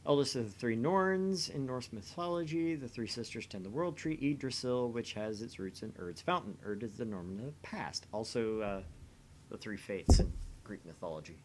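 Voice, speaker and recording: A man's voice: medium pitch, animated, moderate pace, a little mellow, a little hiss or hum, low-quality recording. A man hosting and narrating a visual novel read-along, mixing scripted narration with casual asides.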